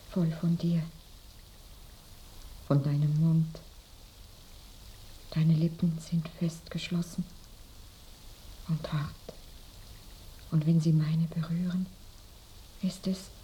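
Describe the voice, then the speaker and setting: Dying woman, slow feverish whisper. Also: low voice, level tone, mellow. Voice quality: breathy whisper. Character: dying woman, feverish, sensual, lost in memories. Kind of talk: radio play acting. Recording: noisy binaural radio drama recording.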